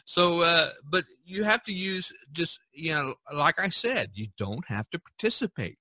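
A man's voice: on the high side, expressive, a little slow, pushed and bright, no echo. A middle-aged or older man hosting a podcast in a casual, rambling monologue, repeating phrases for emphasis. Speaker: podcast host.

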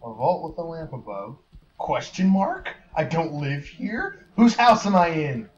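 A man's voice: fairly high pitch, expressive, a little slow. A man casually commentating on a horror game as he plays, thinking aloud with wry, muttered remarks. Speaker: gamer. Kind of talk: casual let's play commentary. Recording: slightly dull lo-fi mic with faint hiss.

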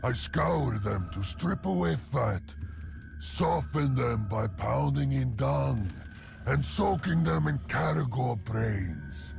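Orc skinner for a game: proud sadist, fussy, gravelly, slow relishing delivery. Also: male voice, medium pitch, expressive, heavily acted. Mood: proud menace. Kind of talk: video game character acting. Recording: loud music behind the voice.

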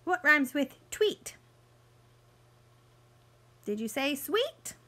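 Slow, high-voiced woman reading to children. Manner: expressive, sing-song, simple teacherly phrasing. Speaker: teacher reading a picture book aloud. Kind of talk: children's read-aloud with questions to young listeners.

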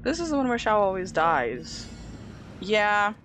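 A woman's voice: medium pitch, very animated, a little slow, a bit bright, a little hiss or hum. A woman with a slight lisp, streaming a game and chatting playfully with her viewers in a casual way. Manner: chatty, playful, talks to chat. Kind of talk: casual gameplay commentary. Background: faint game music.

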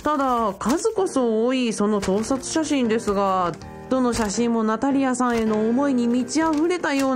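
Woman playing a high-voiced, eager young man. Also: close to an everyday voice. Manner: deferential, explanatory, animated. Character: polite young man, bookshop part-timer, eager self-styled fiancé. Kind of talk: radio drama acting.

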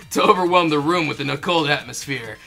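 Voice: medium pitch, vocal fry, sharp tone, soft background music. A person reading a game script as scripted narration, with playful, casual asides. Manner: playful asides, quick to laugh. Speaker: fandub performer reading the game script with commentary. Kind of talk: scripted narration mixed with casual joking chat.

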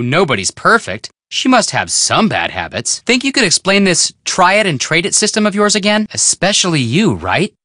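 A man's voice: on the high side, sharp tone, dry recording, clean studio sound, exaggerated voice. A man playing an upbeat, curious young American amateur detective in a video game, breezy, teasing and casual. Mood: curious, friendly.

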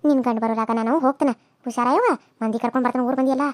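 Shrill, quick-talking rural woman, pleading and wailing , played by a woman. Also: fairly even intonation, no echo, clean studio sound, close to an everyday voice. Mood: fretful. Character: frightened village women pleading with a bully.

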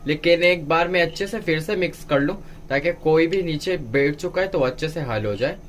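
A man's voice: a little high, moderate pace, slight background noise. A man giving a step-by-step cooking tutorial as a home cook, instructing viewers directly. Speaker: home cook presenting a recipe.

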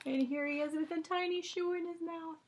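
A middle-aged woman reading a picture book aloud to children as a teacher, expressive and deliberate, with drawn-out emphasis. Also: very high-pitched, very noisy. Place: small home bedroom, indoors.

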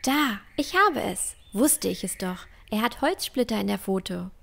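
A woman's voice: medium pitch, expressive, measured pace, gravelly, fairly bright tone, heavily acted. A woman playing a bold, bookish young girl explorer in a fantasy audio drama, earnest and matter-of-fact.

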